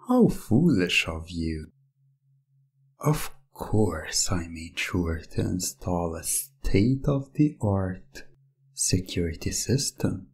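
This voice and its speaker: Male actor as smug hypnotist villain for ASMR roleplay; husky, soft delivery with swooping, theatrical, drawn-out phrasing. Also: deep voice, exaggerated voice. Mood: mocking. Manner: slow, deliberate, theatrical, condescending, with drawn-out words. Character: smug, menacing male gem owner, hypnotically taunting a caught thief.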